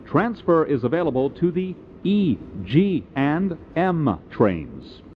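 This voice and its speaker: A man reading recorded train transfer announcements, emphatic and deliberate. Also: fairly high pitch, sing-song. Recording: dull audio with faint noise.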